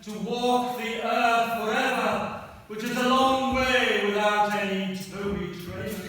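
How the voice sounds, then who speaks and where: Comic radio-play ghost father male actor: grandly mournful, declamatory, high and forceful, recorded from afar. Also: steady tone, exaggerated voice. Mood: solemn mournful. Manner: declamatory, drawn-out delivery. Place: theatre hall, live performance. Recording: very echoey hall, distant mic, hiss.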